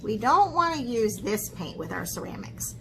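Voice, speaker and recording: A woman with a fairly high voice hosts an instructional art video for children. She is chatty and encouraging, regularly checking that listeners follow along. There is clear background noise behind her. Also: very animated, moderate pace. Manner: encouraging, chatty, frequent check-in tags like "okay?". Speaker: children's art teacher and host. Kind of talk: instructional video talk to children, with read-aloud.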